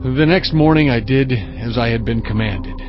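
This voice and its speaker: An actor playing a solemn, sorrowful prophet who deliberately recounts God's word in a dramatized audio Bible. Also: male voice, medium pitch, close to an everyday voice. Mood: sorrowful, restrained. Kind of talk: dramatized audio Bible, first-person scripture narration. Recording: dull, muffled audio with music. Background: cinematic music.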